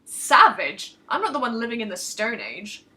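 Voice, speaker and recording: Woman as male soldier, storybook hero, blunt, bewildered, indignant, emphatic, forceful bright tone. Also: a little high, very animated, moderate pace.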